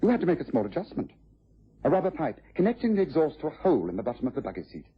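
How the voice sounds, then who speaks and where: An actor playing a shrewd, methodical English gentleman detective, precise and commanding, in a mid-century radio drama style. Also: fairly high pitch, wildly swooping pitch, very fast, husky, full character voice. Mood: assured, accusing. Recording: dull, muffled vintage radio recording.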